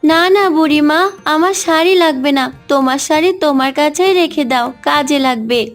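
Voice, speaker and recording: Kind elder village sister for cartoon woman acting: very high pitch, considerate, courteous, music underneath. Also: moderate pace, natural voice. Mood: polite. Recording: electronic music behind the voice.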